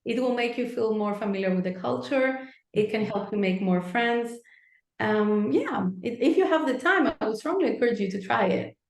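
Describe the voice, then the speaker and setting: A woman speaking as the guest therapist, giving advice in a calm, earnest and encouraging way. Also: a little high, moderate pace. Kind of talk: advice-giving interview talk. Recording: echoey room.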